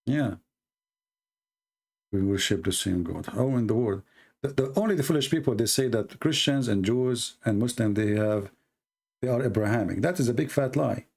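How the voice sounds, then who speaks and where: A man's voice: medium pitch, moderate pace, creaky, darkish tone, breathy edge. A man with an Arabic accent, a native Arabic speaker from the Middle East, hosting a talk show in blunt, mocking casual commentary with broken English grammar. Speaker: debate show host.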